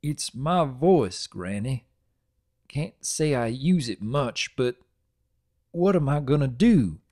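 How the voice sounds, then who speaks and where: A man's voice: medium pitch, lively intonation, slow pace, dark tone. A man voicing a taciturn, downcast male farm pony, terse and folksy, in a dramatic reading of a cartoon story. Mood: despairing.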